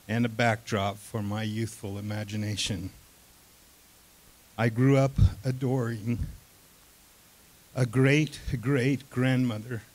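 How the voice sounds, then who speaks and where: A man reading his own first-person story aloud on stage, deliberate, pausing between short phrases. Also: medium pitch, low-quality recording. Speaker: reader of his own written piece.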